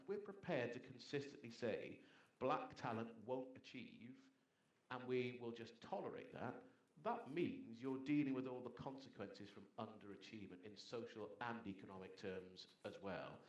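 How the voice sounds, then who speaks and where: Middle-aged man, panel speaker, earnest and persuasive, mid pitch, echoey conference hall. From Zambia. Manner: earnest, persuasive, builds points in lists. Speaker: panel speaker, former economy minister. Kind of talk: panel discussion talk.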